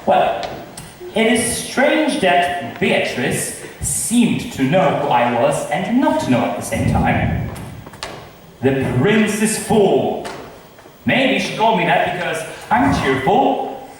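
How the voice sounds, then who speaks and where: Man playing witty high-voiced gentleman, very echoey. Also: big pitch swings, slow pace, full character voice. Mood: teasing. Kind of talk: amateur stage acting in modernized Shakespeare. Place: drama club stage in a large echoey room. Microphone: far camera. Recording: distant, echoey hall with noise.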